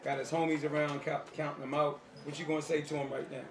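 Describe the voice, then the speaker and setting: A man's voice: on the high side, little pitch movement, fast talker, creaky. An American man doing a casual street-style interview, talking in an informal, slangy way. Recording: roomy, noisy on-location sound.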